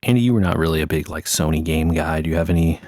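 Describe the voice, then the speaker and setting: A man's voice: extremely low pitch, quick pace, a little mellow, no echo, clean studio sound. A man co-hosting a podcast, chatting casually about video games. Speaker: podcast co-host.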